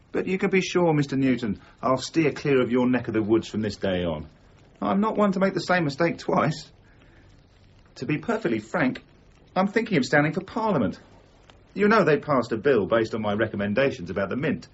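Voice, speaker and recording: An actor in a period radio drama playing a brazen, ingratiating swindler who talks with glib, flattering courtesy. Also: male voice, medium pitch, moderate pace, highs cut off, slight background noise, close to an everyday voice. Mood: smugly defensive. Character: smooth, brazen male swindler and counterfeiter, ingratiating.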